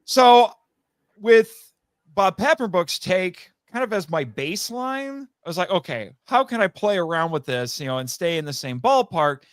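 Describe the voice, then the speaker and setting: A man chatting casually as a podcast guest, telling anecdotes in a rambling, animated way and acting out bits of conversation. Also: sits high, moderate pace, dry recording. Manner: rambling, animated storytelling with acted-out quotes. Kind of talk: casual anecdotal interview chat.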